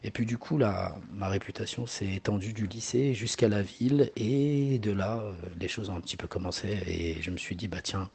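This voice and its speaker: Man, forties, arabic native speaker, very husky voice, hesitant halting answers. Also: on the low side, a little mellow. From Morocco. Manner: hesitant, many fillers and repeated words. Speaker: singer. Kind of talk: interview answers.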